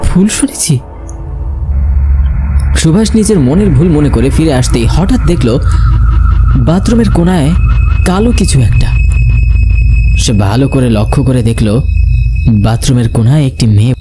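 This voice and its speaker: A man's voice: medium pitch, lively intonation, moderate pace, long pauses. A man narrating a scripted horror story in a dramatic storytelling style. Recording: loud music behind the voice.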